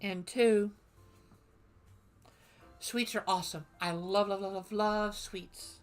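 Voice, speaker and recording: A woman's voice: medium pitch, fairly even intonation, takes it slowly, soft background music. A woman streamer chatting casually and playfully while reading through a game, rambling between lines. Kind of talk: casual gameplay commentary.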